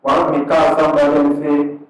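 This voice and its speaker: A man with a Ghanaian accent speaks to a gathered crowd as their imam, easygoing and conversational, his thoughts arriving in short halting pieces. His voice sits a little high for a man. He is picked up from far off in a hall that rings with a lot of echo. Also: monotone. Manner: conversational, unhurried, breaks phrases into short pieces. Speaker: imam and parish missionary. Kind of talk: addressing an audience at a community event.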